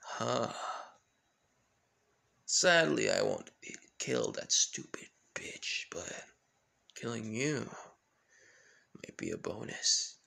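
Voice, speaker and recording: A menacing villain, as a male storyteller puts him on, taunts slowly in a hushed, breathy, creaky voice. He is bitter and vengeful, halting between phrases as in an amateur story retelling. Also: medium pitch, animated, exaggerated voice. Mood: gloating, menacing.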